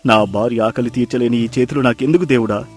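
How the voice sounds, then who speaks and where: Male actor for a humble, wretched village fisherman: highish gravelly voice, pleading, music beneath. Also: normal speed. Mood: bitter despair. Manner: pleading, lamenting, self-blaming. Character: poor, despairing, humble fisherman husband. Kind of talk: acted moral-story dialogue. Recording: music behind the voice.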